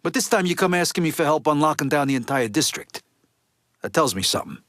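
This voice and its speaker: An actor playing a tough, foul-mouthed middle-aged Italian-American mobster in a video game, with an American East Coast accent and blunt street talk. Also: male voice, on the high side, lively intonation, fast, a bit bright, a little hiss or hum. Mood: wry, confident. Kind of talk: video game character dialogue.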